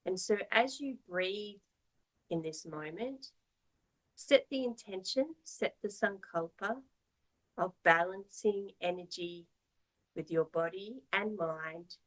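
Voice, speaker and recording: A woman teaching yoga, guiding a meditation in a soothing, measured, gently instructive manner. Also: mid-range voice, poor audio.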